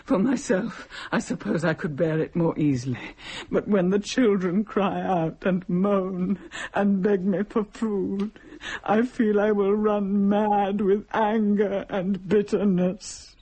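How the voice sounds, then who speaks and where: A woman's voice: medium pitch, steady tone, mellow, breathy edge. An actress playing a starving young widowed mother from long ago, speaking in anguished, formal monologue in a radio play. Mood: despairing, weary. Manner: anguished, halting, formal old-fashioned storytelling.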